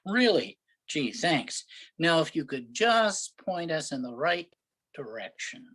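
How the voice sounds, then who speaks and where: A man's voice: a little high, very animated, slow pace, fairly bright tone, full character voice. A man playing an impatient, grumbling New York husband lost in the countryside, in a staged reading of a comic play. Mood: impatient, sarcastic. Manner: sarcastic, grumbling.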